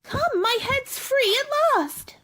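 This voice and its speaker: A very high, swooping girl's voice, put on by a woman for a radio play. She is curious and polite, full of questions and exclamations, and quick to get exasperated. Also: expressive, bright, forceful tone, full character voice. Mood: startled. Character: Alice, curious polite young girl, easily exasperated. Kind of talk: theatrical radio play acting.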